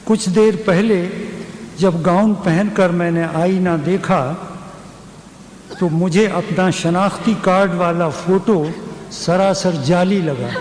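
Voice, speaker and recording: An older Pakistani man delivering a humorous formal literary speech in a deadpan, measured way, with long pauses. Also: high-pitched. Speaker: guest speaker at a university ceremony. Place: large hall at a ceremony. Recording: noisy, echoing hall public-address recording.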